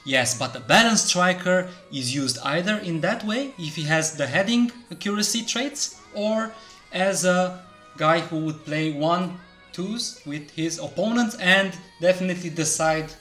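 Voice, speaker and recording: A man's voice: sits high, animated, pushed and bright, reverberant, soft background music. Joking man co-hosting a gaming show. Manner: joking, quick asides, banter. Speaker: co-host of a gaming tips show. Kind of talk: casual scripted gaming chat.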